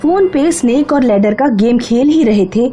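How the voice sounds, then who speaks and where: A woman's voice: a little high, animated, normal speed, warm tone, dull audio, slight background noise. A woman narrating a scripted horror story in a dramatic style. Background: background music.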